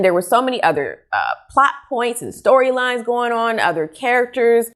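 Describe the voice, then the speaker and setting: An American woman in her thirties chatting casually and expressively to camera as a book vlogger, recorded in a room at home. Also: on the low side. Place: small furnished room at home.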